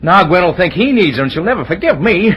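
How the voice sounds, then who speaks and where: A man's voice: high-pitched, big pitch swings, quick pace, fairly bright tone, breathy edge, full character voice. An actor playing a fretful, slangy, exasperated young man in an old radio comedy. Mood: dejected, worried. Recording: old radio drama recording.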